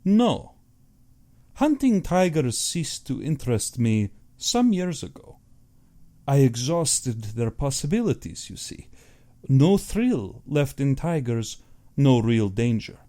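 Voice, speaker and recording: A man's voice: medium pitch, sing-song, warm tone. A man voicing a suave, courtly aristocratic Russian general, an affable but sinister hunter, in an audiobook. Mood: proud, menacing. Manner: courtly, measured, self-satisfied.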